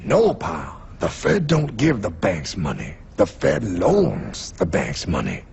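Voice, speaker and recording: A blunt, streetwise cartoon guide, played by a man who lectures in a gravelly voice that swings widely up and down. He keeps calling people pal, and music plays underneath. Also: lowish voice, wildly swooping pitch, slow, a little mellow, lo-fi sound, heavily acted. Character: gruff streetwise male guide explaining money. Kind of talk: animated cartoon voice acting.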